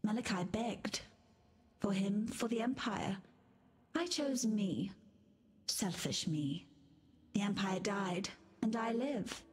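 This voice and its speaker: A woman's voice: medium pitch, slow, long pauses, close to an everyday voice. A woman playing a mad, bitter, undying queen in a video game, muttering and repeating herself theatrically. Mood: mournful, bitter. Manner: unhinged, repetitive, theatrical, muttering.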